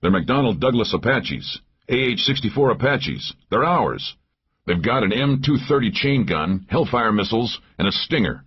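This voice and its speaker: A man's voice: low voice, lively intonation, moderate pace, slightly rough, highs cut off. Narrator's tough soldier figure, male, clipped, emphatic, thriller audiobook. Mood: alarmed. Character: tough military man, startled, barking warnings.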